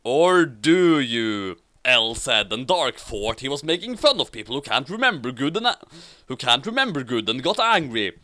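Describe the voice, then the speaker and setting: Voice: mid-range voice, big pitch swings, moderate pace, bright, forceful tone. A host doing a comic dramatic reading of fan fiction with casual banter, theatrical and prone to stumbling and repeating phrases.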